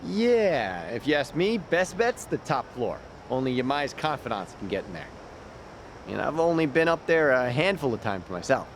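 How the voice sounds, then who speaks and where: An actor playing a streetwise, easygoing ex-gangster guide in a video game, explaining things in casual slang. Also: male voice, medium pitch, big pitch swings, normal speed, a little hiss or hum. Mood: explanatory. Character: streetwise, easygoing male gang deserter and local guide in a game.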